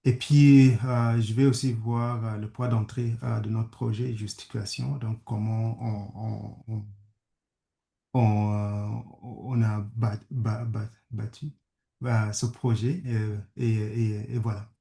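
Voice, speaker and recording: Halting, gravelly man, French. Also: on the low side, flat delivery, warm tone. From Kenya. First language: English. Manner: halting, many fillers and restarts. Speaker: guest speaker, conflict studies professor. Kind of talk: hesitant webinar presentation. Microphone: video call. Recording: echoey room.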